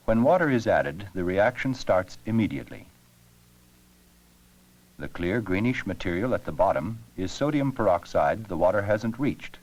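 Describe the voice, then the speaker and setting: A man narrating an educational science film with scripted, measured, explanatory delivery. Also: deep voice, darkish tone, rough recording.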